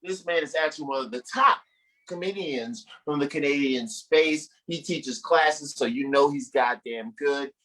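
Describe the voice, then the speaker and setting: A man hosting a comedy show, hyping up comedians in slangy, excited introductions. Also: on the high side, moderate pace, poor audio. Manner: slangy, hyped-up. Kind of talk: hype emcee introducing comedians.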